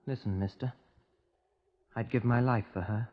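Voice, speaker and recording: A man's voice: medium pitch, steady tone, normal speed, natural voice. An actor playing a lovestruck, volatile young English handyman in an emotional, earnest 1950s radio crime drama. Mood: ardent. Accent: British. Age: young adult. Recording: muffled old radio broadcast recording.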